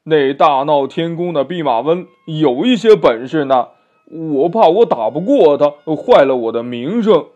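A man's voice: high-pitched, very animated, slow pace, mellow, full character voice. A man voicing a boastful but cowardly male pig demon in a storybook tale, stammering and blustering with sneering chuckles. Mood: alarmed. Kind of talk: children's storybook character voice. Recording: muffled lo-fi audio with music behind.

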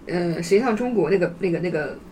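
A woman chatting casually as a podcast co-host, in an animated, inquisitive manner. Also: fairly low pitch, fast, a crowd in the background. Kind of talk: casual group chat.

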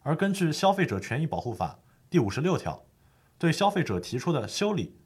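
A man's voice: fairly high pitch, big pitch swings, brisk, breathy edge. A man playing a patient lawyer who explains the law formally and methodically in a scripted educational audio drama. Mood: explaining, firm.

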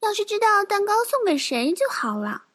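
A woman's voice: extremely high pitch, sing-song, moderate pace, fairly bright tone, voice pushed up, exaggerated voice. A woman voicing a sweet, earnest little girl in a children's audio drama, childlike in manner, with music behind.

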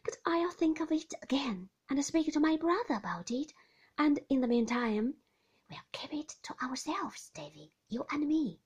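A woman reading aloud, voicing a warm-hearted motherly woman servant in a bright, emphatic voice. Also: very animated, normal speed. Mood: affectionate. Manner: bright and emphatic, with a raised pitch.

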